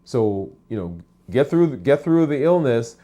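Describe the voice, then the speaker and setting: Man, friendly and chatty, live-stream host, mid-pitched voice, talking from his office. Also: very animated, a little slow, dark tone. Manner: friendly, chatty, many fillers and restarts. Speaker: physician hosting a live stream. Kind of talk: casual live-stream talk answering viewer comments.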